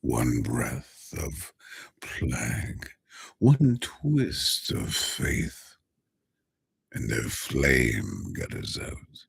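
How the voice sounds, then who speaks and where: A man's voice: extremely low pitch, huge pitch swings, pitched below the performer's own voice, exaggerated voice. Man doing a whispering ancient death spirit. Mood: gloating menace. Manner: slow, hushed, savoring menace with breathy whispers. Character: ancient male death-like entity scorning frail humans. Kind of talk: dark villain monologue.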